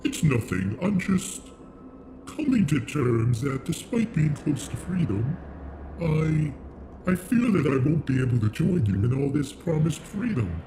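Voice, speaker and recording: A man voicing a gentle, melancholy animatronic gentleman, formal, hesitant and tender, in a fan cartoon dub. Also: on the high side, big pitch swings, measured pace. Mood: apologetic, sad. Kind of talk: fan comic dub acting. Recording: clear background noise.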